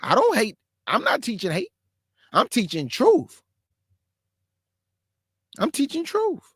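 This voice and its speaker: Man, high voice, dry close sound, slangy emphatic scolding, reaction commentary. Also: wildly swooping pitch, slow, a bit bright, breathy edge, no echo. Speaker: show host.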